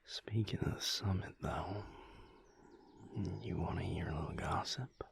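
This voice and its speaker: Man acting a gravelly, murmuring Southern vampire lover. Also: unhurried, whispered. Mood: playful teasing. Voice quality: breathy low murmur, gravelly. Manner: drawling, lazy, teasing. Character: laid-back affectionate male vampire lover, adult, teasing. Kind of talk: intimate audio roleplay, whispered pillow talk.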